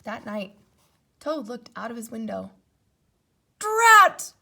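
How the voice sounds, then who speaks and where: A woman reading a children's story aloud in an expressive narrating style. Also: fairly high pitch, normal speed, vocal fry, slight background noise. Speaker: storybook reader.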